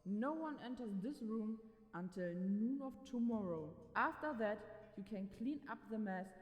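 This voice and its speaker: A woman's voice: fairly high pitch, lively intonation, unhurried. A woman playing a stern, commanding young occult expert in a dramatised horror story, giving instructions with authority through heavy reverb. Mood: stern, warning. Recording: echoey, long hall-like reverb.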